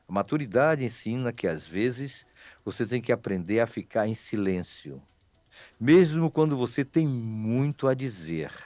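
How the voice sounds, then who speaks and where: A man's voice: mid-range voice, a little mellow, no room sound. A man with a Bahian Brazilian accent hosting local radio, chatting casually with listeners in a folksy, rambling, teasing way. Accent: Bahian Brazilian Portuguese.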